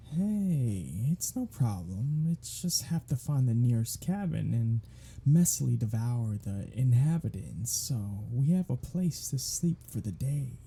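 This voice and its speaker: A cocky, sardonic vampire, played by a voice actor, in an ASMR audio drama. The voice is very dark and husky, full of breath, and swings widely in pitch. The vampire drawls out teasing, sarcastic banter. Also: big pitch swings, slow pace, exaggerated voice. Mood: dry, teasing. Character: cocky, sardonic, teasing, foul-mouthed vampire riding shotgun. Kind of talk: ASMR roleplay audio drama, one-sided conversation.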